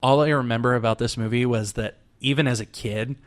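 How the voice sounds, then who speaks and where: Brief: young male podcast co-host, mid pitch, jokey, laughs a lot, animated. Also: a little fast. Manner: laughs often, animated and joking. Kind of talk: casual chat.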